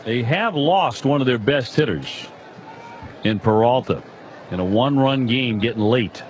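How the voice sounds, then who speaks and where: A man's voice: medium pitch, animated, unhurried, highs cut off. A man giving live commentary as part of a baseball broadcast. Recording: faint stadium noise behind voice. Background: faint ballpark ambience.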